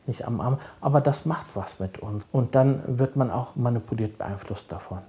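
A middle-aged man, a social worker, answering interview questions calmly and thoughtfully, often pausing to search for words. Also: medium pitch. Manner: calm, measured, searching for words with many fillers. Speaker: social worker advising fathers.

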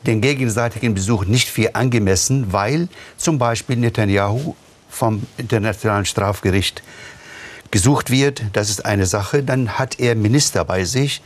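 An older man from the West Bank, a panel guest, speaking in a deliberate, halting way. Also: lowish voice. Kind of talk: panel discussion.

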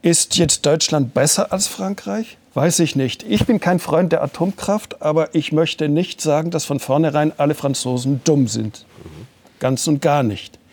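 An older man whose native language is French, giving measured, deliberate answers as an interview guest and slowing down to stress his points. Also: a little high, a little mellow, dry recording. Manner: measured, deliberate, slows down for emphasis.

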